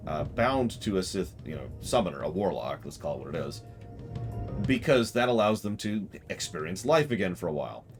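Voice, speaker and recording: A man giving casual, analytical game commentary to a live chat in a blunt, conversational way. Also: on the low side. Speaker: game streamer. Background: game music.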